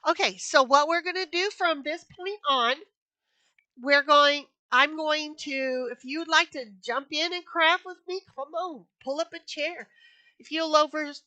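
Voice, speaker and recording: A woman walks through a craft project in a high, unhurried voice, chatting and repeating herself as she works. She sounds a little sniffly from allergies. The sound is close and dry. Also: bright, forceful tone, no echo, dull audio. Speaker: craft channel host. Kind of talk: casual crafting tutorial. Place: home craft room.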